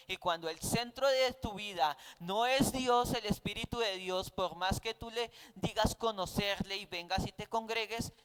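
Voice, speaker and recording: A man's voice: sits high, a bit bright, clear, resonant tone, low-quality recording. A man with a Colombian accent teaching a Bible class in a didactic, conversational way, often posing rhetorical questions.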